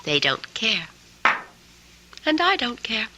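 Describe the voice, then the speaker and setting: A woman's voice: a little high, big pitch swings, slow pace, noisy background. An actress playing a domineering young female drifter, sly and mocking, in theatrical old-time radio drama style. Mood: coaxing, bossy. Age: young adult. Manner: theatrical, commanding, taunting.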